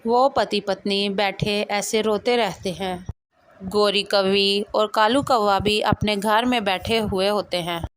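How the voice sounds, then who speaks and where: Female storyteller for a children's cartoon, medium pitch, scripted narration. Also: fairly even intonation, takes it slowly, dry recording.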